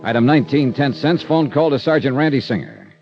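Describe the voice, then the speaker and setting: An American actor playing a brisk, skeptical insurance investigator in 1950s radio drama, clipped and assertive. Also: male voice, medium pitch, sing-song. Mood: businesslike. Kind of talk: 1950s radio detective drama acting and narration. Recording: dull vintage radio recording, music at times.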